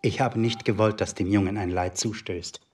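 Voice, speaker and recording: A man's voice: fairly low pitch, fairly even intonation, moderate pace, darkish tone, close to an everyday voice. An actor playing an agitated middle-aged secret agent in a German radio drama, blustering and pleading with his wife. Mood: uneasy, coaxing. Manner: blustering, pleading, swinging between coaxing and outrage. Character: agitated, self-pitying husband, a shady secret agent.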